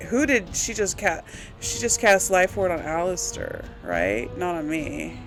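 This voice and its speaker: A woman gives casual game commentary, chatty and folksy as she thinks aloud. Her pitch swings widely at an unhurried pace, with a slight tickle in her throat and faint music behind. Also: animated, vocal fry. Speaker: gaming video host. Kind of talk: casual let's play commentary.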